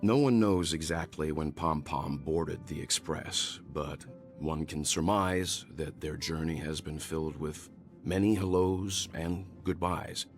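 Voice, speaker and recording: An actor playing a wise, measured older mentor and navigator in a video game, speaking thoughtfully and articulately. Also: male voice, rumbling low, faint music behind. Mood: reassuring, reflective.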